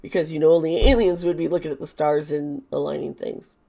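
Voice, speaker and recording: A hoarse woman who is losing her voice, talking through a tarot topic in a rambling, chatty way with sarcastic asides. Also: low-pitched, steady tone, fairly quick, dark tone. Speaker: tarot reader. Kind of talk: casual monologue.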